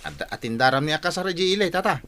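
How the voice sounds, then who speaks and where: A man's voice: fairly high pitch, big pitch swings, very fast, a bit bright. An actor playing a sociable, easygoing Filipino village man with a northern accent, talking colloquially in a comedic radio drama. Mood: casual, teasing.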